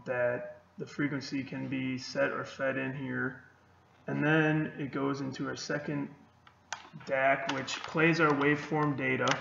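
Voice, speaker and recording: Male student presenter, technical walkthrough, heavy vocal fry, dull recording with faint noise. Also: mid-range voice, fairly bright tone, roomy sound. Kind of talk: technical project demo narration.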